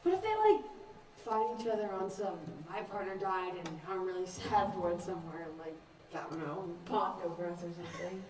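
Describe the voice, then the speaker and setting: A woman's voice: on the low side, lively intonation, moderate pace, lightly acted. A young woman acting a blunt, sardonic character in a student stage play. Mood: wry, casual. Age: young adult. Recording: echoey room, far mic, faint noise.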